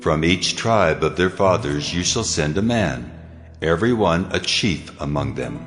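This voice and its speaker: A very low, grave divine voice, stern and commanding, with wide swings in delivery. It speaks solemnly as God in a scripture drama, with music clearly underneath. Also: male voice, lively intonation, unhurried, fairly bright tone. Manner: grave, authoritative, declamatory.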